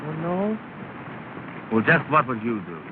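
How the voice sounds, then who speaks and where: A man's voice: medium pitch, sing-song, measured pace. An actor playing a proud, stubborn young suitor, earnest with her and curt with rivals, in 1930 film acting. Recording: scratchy, tinny 1930 film soundtrack with hiss.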